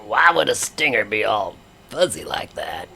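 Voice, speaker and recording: Gravelly Southern alien trucker, good-natured and slow-witted, swooping bright delivery, audio comedy. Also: male voice, lowish voice, sing-song, soft background music, heavily acted. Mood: folksy musing. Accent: Southern American. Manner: drawling storyteller. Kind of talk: comedic audio drama acting.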